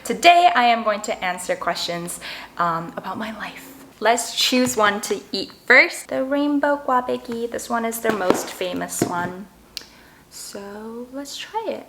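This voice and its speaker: A woman in her twenties, raised in Canada, chatting casually and brightly to viewers while tasting desserts. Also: a little high, animated, measured pace, noisy background. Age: 20s. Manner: bubbly, chatty, eating between sentences. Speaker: vlogger. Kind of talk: casual mukbang chat.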